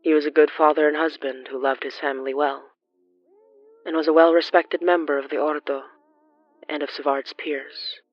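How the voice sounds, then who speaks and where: Horror fiction narrator, mid-range voice, formal and measured, slightly dull dry recording. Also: mid-range voice, level tone, dry recording, soft background music.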